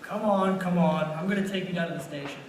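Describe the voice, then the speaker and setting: A man's voice: high-pitched, fast, slight background noise, close to an everyday voice. A man playing a stern small-town policeman in a stage radio play, giving firm commands. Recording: echoey distant mic, music behind.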